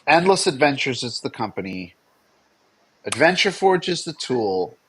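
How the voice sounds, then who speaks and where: A man's voice: mid-range voice, big pitch swings, measured pace, a bit bright, a little hiss or hum. Dry, tongue-in-cheek man on a livestream. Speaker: game designer and stream co-host. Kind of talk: casual livestream banter.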